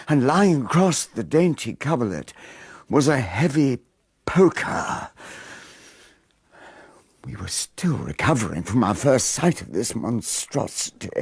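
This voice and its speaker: An actor playing a genteel Englishman narrating a country-house murder mystery in a radio play, with an English accent and a husky voice. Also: medium pitch, darkish tone, no echo, studio quality, exaggerated voice. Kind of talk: first-person dramatic narration in a radio play.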